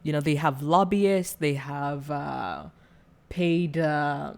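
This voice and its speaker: Woman, from Rwanda, low voice, casual commentary, hesitant, giggly. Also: lively intonation, slow, gravelly, darkish tone. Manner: hesitant, many fillers, giggles. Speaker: channel host. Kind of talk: casual political commentary video.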